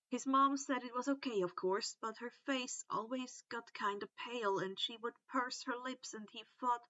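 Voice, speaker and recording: Female story narrator, high voice, expressive delivery with drawn-out emphasis, slightly muffled lo-fi sound. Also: no room sound. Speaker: audiobook-style reader of fan fiction.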